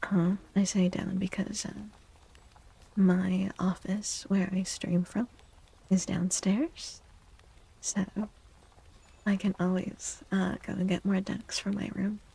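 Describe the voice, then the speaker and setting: A woman speaking softly and breathily in a gentle, unhurried near-whisper, chatting as an ASMR livestream host and often softly repeating phrases. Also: medium pitch, little pitch movement, rough recording. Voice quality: creaky. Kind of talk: soft-spoken ASMR livestream chat.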